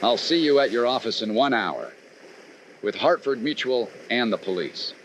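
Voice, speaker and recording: An actor playing a brisk, confident, wry male insurance investigator in an old-time radio detective drama. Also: medium pitch, lively intonation, slight background noise, natural voice, poor audio. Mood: brisk, serious.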